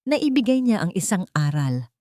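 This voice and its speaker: Woman doing a cold, calm male CEO. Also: medium pitch, moderate pace, dry recording, clean studio sound, lightly acted. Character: cold, commanding male CEO, young adult.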